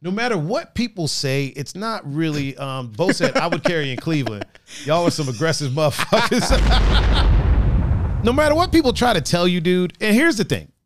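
A man hosting a casual chat show with a raspy voice, talking in a laid-back, profane, joking way and reading out chat comments. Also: on the high side, very animated, moderate pace. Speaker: podcast host.

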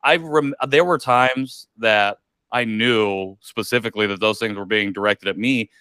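A man's voice: lowish voice, a little slow, sharp tone, clean tone, no room sound. A man, a podcast guest telling a candid personal story in a reflective way, with many likes and ums. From United States.

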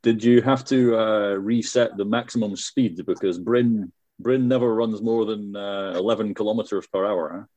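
A man's voice: lowish voice, fairly even intonation, normal speed, hardly any pauses, mellow, no room sound, lo-fi sound. A man hosting a podcast interview, chatty, with frequent restarts. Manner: chatty, stumbling restarts, laughs often. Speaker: podcast host.

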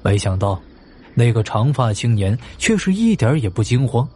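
A man's voice: mid-range voice, very animated, moderate pace, mellow, slightly rough. A man narrating a mystery story in a dramatic, scripted audio drama style.

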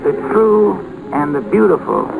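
An actor playing a loving, patient, middle-aged father in an old-time radio drama, speaking in a gentle, folksy, unhurried way. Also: high-pitched, vocal fry, full character voice. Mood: tender, reverent. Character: loving, patient father explaining prayer to young daughter. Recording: very noisy old radio broadcast recording.

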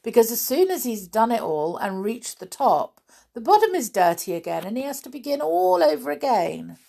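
A woman performs a brisk young male adventurer from a children's tale. He is emphatic and easily exasperated, with a lively, swooping pitch. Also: vocal fry, full character voice. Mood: amused. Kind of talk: children's storybook character voice.

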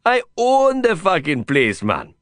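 A man voicing an exuberant, foul-mouthed, friendly Indian man with an Indian accent and excitable, slangy, cajoling speech in an audiobook. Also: sits high, unhurried, no echo, studio quality, pitched above the performer's own voice, full character voice. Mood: excited, boastful.

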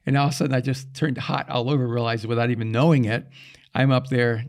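A middle-aged man from El Salvador, a pastor whose first language is Spanish, chats on a podcast with a slightly gravelly, fry-edged voice. He tells stories animatedly, acting out the people he quotes in them. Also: mid-range voice, fast. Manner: animated storyteller with quoted asides. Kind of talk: casual conversation.

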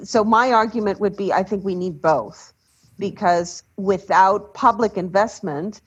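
A woman from Ecuador, a development expert speaking in a measured, deliberate way on a panel. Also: medium pitch, husky. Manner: measured, deliberate, trailing off softly. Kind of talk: panel discussion. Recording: dull lo-fi sound.

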